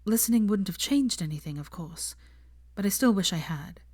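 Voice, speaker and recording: A woman's voice: mid-range voice, lively intonation, normal speed, darkish tone, slightly rough. A woman voicing a prim, articulate young woman. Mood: apologetic. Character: young adult pregnant woman, earnest and prim.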